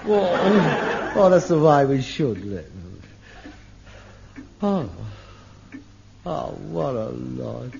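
A frustrated son, theatrical, mutters then rants, dull old tv audio. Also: male voice, fairly high pitch, animated, very slow, creaky, long pauses, very noisy, heavily acted. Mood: scornful musing. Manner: theatrical, swinging from wistful muttering to manic ranting. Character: frustrated middle-aged son, a rag-and-bone man with grand dreams. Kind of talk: 1970s TV sitcom acting.

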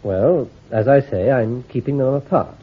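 An actor playing a shrewd army intelligence commander in a radio drama, issuing careful orders in a clipped, authoritative way. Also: male voice, mid-range voice, moderate pace, breathy edge, natural voice. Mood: commanding. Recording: tinny, phone-like old recording.

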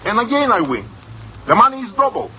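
An actor playing a crafty, easily indignant cafe cashier in old-time radio comedy, theatrical and precise. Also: male voice, very high-pitched, very animated, fast talker. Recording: muffled, hissy old radio recording.

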